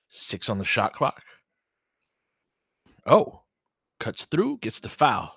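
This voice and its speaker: A man's voice: lowish voice, fairly bright tone, slightly rough, no room sound, clean studio sound. An American man doing casual, conversational live basketball commentary while chatting with viewers. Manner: conversational, reacting to chat comments. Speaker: play-by-play streamer and analyst.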